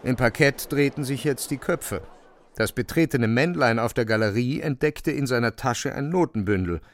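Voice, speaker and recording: A man's voice: medium pitch, normal speed, a little hiss or hum. A man narrating a literary radio drama in a dramatic storytelling style.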